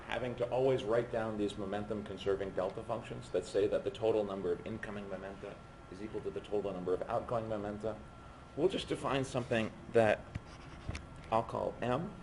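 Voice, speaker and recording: Male lecturer, hoarse and low-pitched, halting delivery, noisy classroom recording. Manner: halting, stopping mid-phrase to write. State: losing his voice. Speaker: physics lecturer. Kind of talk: university lecture at a board.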